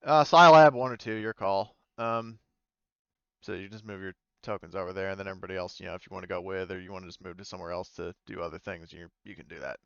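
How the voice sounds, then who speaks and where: A man with a clean, smooth voice at a middle pitch leads a tabletop game as game master. He talks casually and tentatively, in a dry but slightly dulled recording. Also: quick pace, no echo, lo-fi sound. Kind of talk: improvised game narration and casual table talk.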